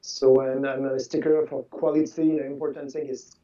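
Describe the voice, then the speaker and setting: A man of sixty whose first language is French, a game designer chatting in a video call interview, halting and repeating words. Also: medium pitch, little pitch movement, warm tone. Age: 60s. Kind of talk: casual interview chat. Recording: distant, dull lo-fi sound.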